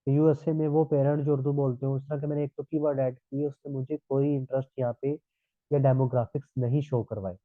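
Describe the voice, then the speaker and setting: A man's voice: mid-range voice, flat delivery, fairly quick, no room sound. A man from Pakistan with a sore throat, teaching a live online class and checking in with students as he explains. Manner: explanatory, repeats "thik hai" checks. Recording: tinny phone-like line.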